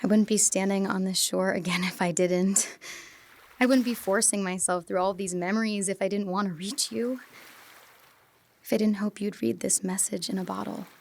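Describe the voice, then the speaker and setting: A woman playing a wistful, teasing young woman in love in an audio drama, with soft hushed moments. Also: medium pitch, fairly even intonation, moderate pace, gravelly, close to an everyday voice. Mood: wistful, tender. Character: wistful, teasing teenage girl in love, remembering. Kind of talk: audio drama acting, intimate monologue and dialogue.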